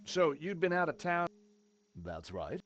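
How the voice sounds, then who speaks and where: A man's voice: on the high side, very animated, unhurried, fairly bright tone, faint music behind. An actor playing a wry, inquisitive insurance investigator in a video game, conversational in his questioning and narration. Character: wry, inquisitive male insurance investigator, easygoing amateur sleuth.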